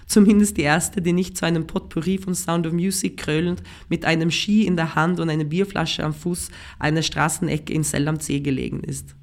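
A Swedish-accented woman with a lowish voice and a gravelly, fry-laced edge. She reads her own comic writing with a dry, self-mocking, deadpan wit. Her first language is Swedish. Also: brisk, hardly any pauses. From Sweden. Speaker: writer and performer, guest. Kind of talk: reading her own comic prose aloud.

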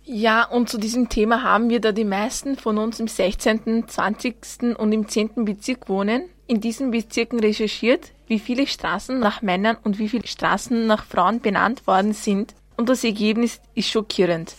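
A teenage schoolgirl reads out her class's research results on air, earnest and emphatic. Her voice is slightly high, recorded dry and close. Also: steady tone, moderate pace, hardly any pauses, dry recording. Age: teens. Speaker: student. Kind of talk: reading a prepared report on radio.

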